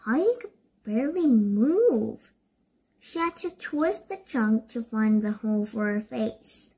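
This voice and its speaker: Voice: mid-range voice, animated, takes it slowly, warm tone, husky. A child reading a storybook aloud, word by word, with stumbles and self-corrections.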